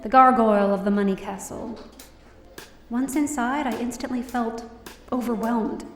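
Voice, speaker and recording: A woman's voice: a little high, fairly even intonation, moderate pace, roomy sound, recorded from a distance, soft background music, close to an everyday voice. A woman playing a down-on-her-luck, distressed young woman narrating her own story in an audio drama. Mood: weary, reflective. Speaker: lead actor in audio drama. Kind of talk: first-person dramatic narration.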